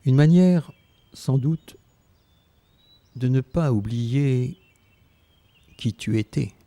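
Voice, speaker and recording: A man's voice: mid-range voice, extremely slow, mellow, no room sound. A man playing an elderly former Resistance fighter, narrating his memories tenderly and reflectively in a radio drama. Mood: tender, nostalgic.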